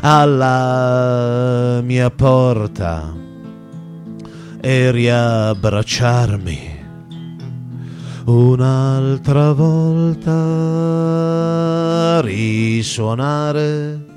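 Guy singing a sad folk lullaby, really slow, guitar playing along. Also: fairly low pitch. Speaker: singer and guitarist. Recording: acoustic guitar accompanying the voice.

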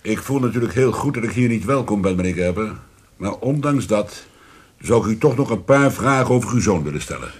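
A man's voice: on the low side, animated, fairly quick, husky. A man playing a formal, probing police commissioner in a radio drama, polite but insistent. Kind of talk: Dutch radio drama acting.

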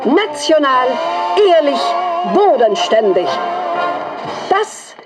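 High, declamatory 1930s newsreel announcer. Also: female voice, sing-song, slow pace, loud music behind the voice, exaggerated voice. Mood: grandly proclaiming. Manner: declamatory, emphatic. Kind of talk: old cinema newsreel announcing.

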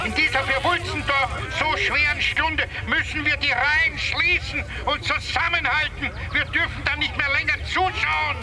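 An actor playing an indignant Austrian village demagogue haranguing people through a megaphone in a radio drama. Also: male voice, very high-pitched. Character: blustering village agitator rallying vigilantes through a megaphone. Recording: crowd noise outdoors.

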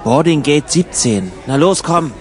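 A man's voice: a little high, lively intonation, a little slow, darkish tone, a faint crowd. A man playing an irritable, bossy traveller in a radio play, talking in an exasperated, colloquial way. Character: irritable, bossy male traveller emigrating to Ireland. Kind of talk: improvised-sounding German radio play dialogue. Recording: noisy travel ambience behind voice.

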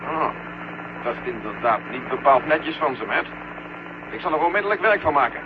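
An actor playing a blunt, authoritative male general with a husky voice in a science-fiction radio play. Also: fairly high pitch, expressive, normal speed, background noise, lightly acted. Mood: official. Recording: muffled vintage radio recording.

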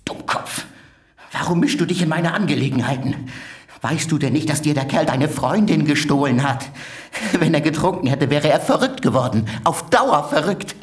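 Man playing a bitter greedy villain, highish voice swinging all over, scornful radio drama. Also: animated, moderate pace, lightly acted. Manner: agitated, scornful.

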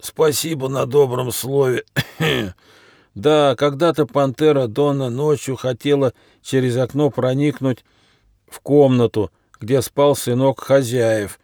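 A man voicing an old, hungry, bitter stray dog, grumbling plaintively in halting, wheezy phrases broken by coughs. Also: mid-range voice, creaky, a little mellow, dry recording, studio quality, pitched above the performer's own voice. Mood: sad, nostalgic.

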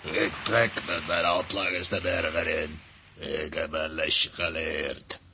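An actor playing a grave, defeated warlord in a children's radio play. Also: male voice, low-pitched, little pitch movement, takes it slowly, pushed and bright, heavily acted. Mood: humbled, solemn. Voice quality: raspy, gravelly. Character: defeated, humbled warlord villain, grave and solemn.

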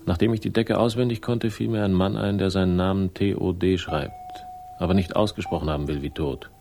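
A deep-voiced, cynical investigator, with a creaky edge. He is curt and sardonic, in the manner of sixties German radio crime drama. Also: steady tone, fairly quick, warm tone. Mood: wry, brooding. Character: cynical hard-boiled male investigator, wry and brusque.

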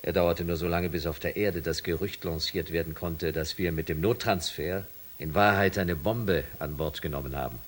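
A man acting as an authoritative shuttle commander in a German science fiction radio drama, speaking in formal, measured announcements. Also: sits low, slightly rough. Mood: calm, reassuring. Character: male shuttle commander, authoritative, reassuring, official.

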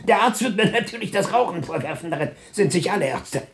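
A sick old variety clown in a radio drama. The voice sits fairly high, fretful and stammering, the irritable complaint of a long-ailing performer. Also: male voice, lively intonation, quick pace, gravelly. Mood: fretful, agitated. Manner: stammering, querulous. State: coughing, sick. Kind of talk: German radio play acting.

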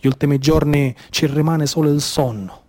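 Radio theatre: male actor as exhausted, desperate migrant at sea, Sicilian accent, fairly high voice, urgent incantatory delivery. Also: steady tone, moderate pace, dark tone, close to an everyday voice. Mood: grim, despairing.

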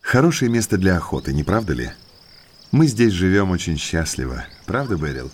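An eager gentleman naturalist in an audio drama. His voice is very low yet lively and swinging, genteel and full of affable explanation. Insects and outdoor ambience sit clearly behind him. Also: moderate pace, slight background noise. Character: Stapleton, eager educated naturalist, outwardly affable brother.

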